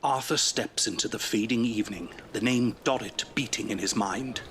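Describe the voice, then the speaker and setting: A man narrating an audio drama in a solemn, measured storytelling style. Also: lowish voice, loud music behind the voice.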